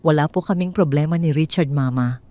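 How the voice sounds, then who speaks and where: A woman narrator plays a middle-aged wife in a dramatic story, polite and tightly controlled. Her voice is soft-edged and mellow, a little low, with a quietly calculating composure. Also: fairly quick, close to an everyday voice. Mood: composed.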